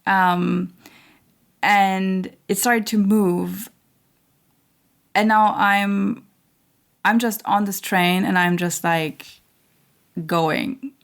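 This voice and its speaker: A woman hosting her own spiritual channel, talking in a slow, searching way with many fillers and restarts while losing her voice. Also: medium pitch, fairly even intonation, vocal fry. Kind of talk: reflective spiritual monologue.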